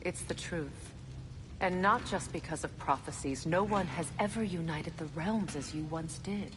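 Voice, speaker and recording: Low-voiced solemn warrior goddess. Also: female voice, big-room sound, background noise. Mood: earnest, resolute. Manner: earnest, measured, persuasive. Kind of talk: dramatic video game acting.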